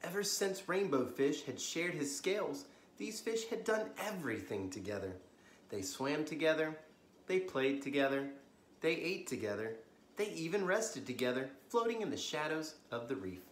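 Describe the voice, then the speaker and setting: An American man in his thirties hosting a children's story time, reading aloud expressively with gentle asides to young listeners. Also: a little high, very animated, normal speed, a little hiss or hum. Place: living room, on a couch indoors. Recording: roomy, echoey home recording.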